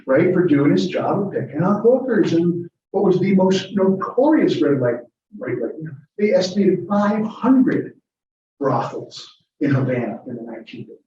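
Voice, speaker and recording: A man's voice: high-pitched, sing-song, moderate pace, warm tone, slight background noise. A man giving a lecture, answering audience questions in a chatty, digressive way with chuckles. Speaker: guest lecturer. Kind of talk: informal Q&A with audience. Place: lecture room. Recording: echoey, muffled room from a distance.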